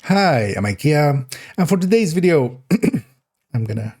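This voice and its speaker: A man with a hoarse, raspy throat, streaming a game in casual, rambling talk, laughing at himself and restarting his intro. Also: a little high, big pitch swings, unhurried, darkish tone. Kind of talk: casual gaming stream chat.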